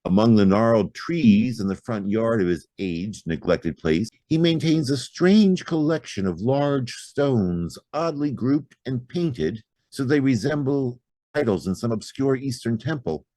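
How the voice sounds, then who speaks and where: A man reading a horror story aloud as an audiobook narrator, with theatrical, expressive delivery. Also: medium pitch, unhurried, no echo.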